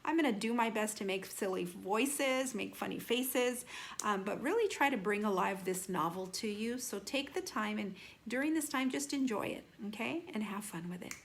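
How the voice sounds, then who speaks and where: A woman reading a children's novel aloud like a teacher, animated, with deliberate emphasis and dramatic slowing on key phrases. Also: mid-range voice, a little hiss or hum. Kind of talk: expressive children's read-aloud.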